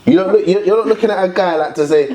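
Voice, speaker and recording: A man's voice: racing, a crowd in the background. A man in a casual podcast debate, arguing his points and pushing back with rising emphasis. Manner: argumentative, rises in pitch when pressing a point. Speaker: podcast panelist.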